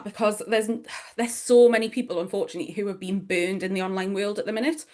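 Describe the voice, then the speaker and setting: A middle-aged woman with a broad Geordie accent presenting a workshop. She talks in a chatty, self-deprecating way, with lots of little asides and fillers. Her voice sits at an ordinary middle pitch. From northeast England. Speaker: business strategist giving a workshop talk. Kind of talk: presentation talk to an audience.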